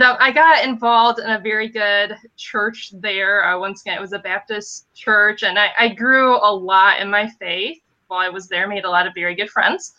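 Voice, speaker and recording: A woman's voice: medium pitch, bright, forceful tone, muffled recording. A woman in her thirties from Western Michigan with a nasal upper Midwestern accent, chatting as a podcast guest and laughing at herself between phrases. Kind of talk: casual interview chat.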